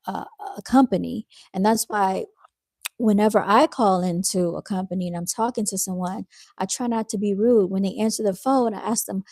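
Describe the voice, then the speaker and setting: A woman with a soft-spoken, soothing voice who talks in a calm, unhurried way, hosting a casual live chat with her viewers. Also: mid-range voice, no room sound. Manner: calm and unhurried, with fillers and restarts. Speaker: live-stream host reading viewer comments.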